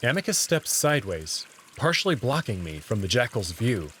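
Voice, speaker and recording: A man with a medium-pitched voice narrates a visual novel in the first person with lively intonation. Faint noise and trickling water sit behind him. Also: normal speed.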